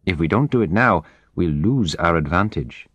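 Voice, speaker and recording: A friendly businessman making his pitch in a story letter, read by a man. He is courteous and eager to persuade. The voice sits a little low, recorded with very little room sound. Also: animated, moderate pace, fairly bright tone, breathy edge, no room sound, lo-fi sound, heavily acted. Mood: earnest, friendly.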